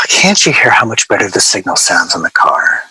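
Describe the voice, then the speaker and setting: An actor playing a wry, exasperated record producer telling rambling anecdotes in a radio drama. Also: male voice, lowish voice, very animated, unhurried, sharp tone, breathy edge, no echo, exaggerated voice, poor audio. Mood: pensive. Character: wry, exasperated male music producer reminiscing about eccentric artists. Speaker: record label producer being interviewed. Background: music.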